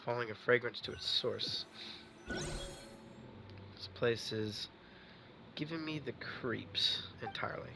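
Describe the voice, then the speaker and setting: A man's voice: mid-range voice, slow, long pauses. A man giving casual gameplay commentary, muttering offhand reactions as he plays. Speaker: game streamer. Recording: clear background game ambience and noise.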